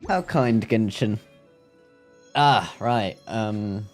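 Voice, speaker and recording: Male streamer, deep voice, casual asides during live gameplay, soft music behind. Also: very slow.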